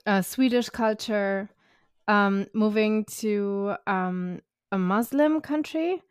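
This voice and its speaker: A woman's voice: mid-range voice, slow, smooth, dry recording, clean studio sound. A woman hosting a podcast, talking in a curious, casual way with many fillers while interviewing a guest on a call. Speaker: podcast host.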